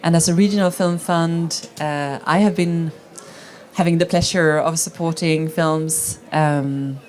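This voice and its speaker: Woman with a lowish voice presenting a fund's work on a panel, steady and informative. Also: a little slow. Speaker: head of documentary at a regional film fund. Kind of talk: panel talk.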